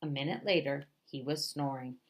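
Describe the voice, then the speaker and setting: A woman's voice: sits low, moderate pace. Woman reading a storybook aloud. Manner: expressive, animated storytelling. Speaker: children's book reader.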